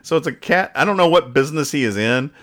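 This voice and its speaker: A man's voice: a little high, animated, fast, fairly bright tone. A man hosting a podcast, reading comic strips aloud in casual comedic banter and explaining the jokes in a wry, mock-earnest way. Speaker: podcast host.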